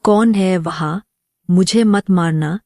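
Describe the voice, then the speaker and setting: A woman's voice: on the low side, slow pace, darkish tone, dry recording, studio quality. A woman voicing a timid, anxious jungle animal in a cartoon moral story. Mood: frightened.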